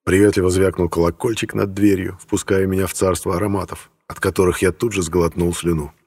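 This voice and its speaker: Low-pitched male audiobook narrator, reverberant sound. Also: little pitch movement, fairly quick, a little mellow, husky. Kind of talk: scripted first-person fiction narration.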